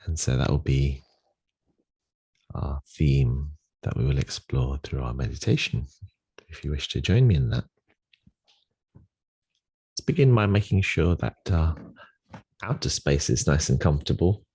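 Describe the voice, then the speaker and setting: A man with a soft, husky voice guides a meditation, giving gentle instructions with long pauses. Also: very deep voice, animated.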